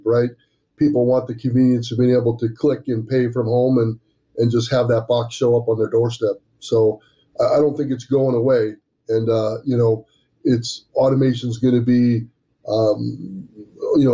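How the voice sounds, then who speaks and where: A man's voice: medium pitch, flat delivery, normal speed, warm tone. A man in his sixties talking conversationally as a guest in a business interview over a video call. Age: 60s. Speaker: business development director, podcast guest. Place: indoor office room, on a video call. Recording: dull, lo-fi video call audio.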